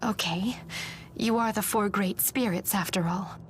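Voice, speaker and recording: An actress playing an earnest, wary village woman who speaks politely in a hushed way in a video game drama. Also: mid-range voice, unhurried, slight background noise, lightly acted. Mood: anxious.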